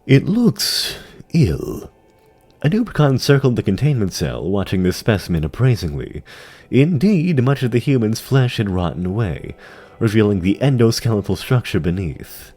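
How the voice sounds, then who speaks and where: A man's voice: lowish voice, big pitch swings, normal speed, dark tone. A man reading scripted fantasy story narration as the narrator of an audio drama.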